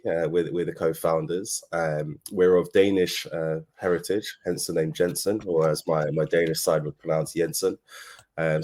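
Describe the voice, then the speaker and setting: A man's voice: sits low, a little mellow. A man with a London English accent giving an informal business presentation in a conversational, explanatory way. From London, England. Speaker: agency co-founder, speaker. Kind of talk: informal business presentation, telling own story.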